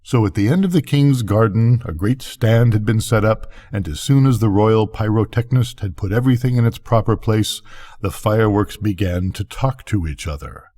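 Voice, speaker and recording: An older man narrating a bedtime story, reading gently and expressively. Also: fairly low pitch, moderate pace.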